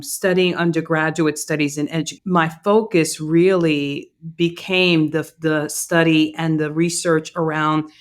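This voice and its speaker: A woman's voice: low voice, smooth. A woman in her fifties from Cuba, whose first language is Spanish, giving reflective interview answers with fillers and restarts. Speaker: podcast guest, DEI consultant.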